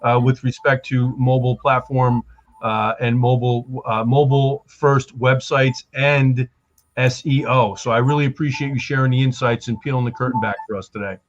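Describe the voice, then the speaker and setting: A man's voice: medium pitch, steady tone, moderate pace. A man hosting a panel show, playful and hyped, tossing out nicknames and catchphrases. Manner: hype, playful, calls people baby. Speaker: show host. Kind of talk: casual panel chat. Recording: slightly dull sound with faint noise.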